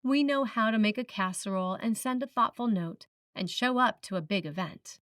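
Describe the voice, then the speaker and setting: A woman preacher reading a written sermon in a measured, reflective manner, putting questions to the listener. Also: mid-range voice, a bit bright, no echo, studio quality, recorded from a distance. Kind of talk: sermon, read from a script.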